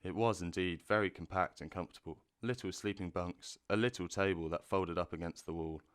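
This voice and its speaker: A man narrating a classic storybook in a measured, expressive reading. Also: low-pitched, clear, resonant tone.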